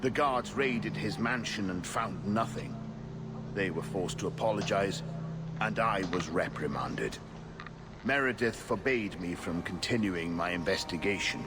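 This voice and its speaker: A man's voice: sits low, expressive, a little slow. A man playing an earnest, weary, determined knight investigator in a video game. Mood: earnest, urgent. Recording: noisy game audio capture.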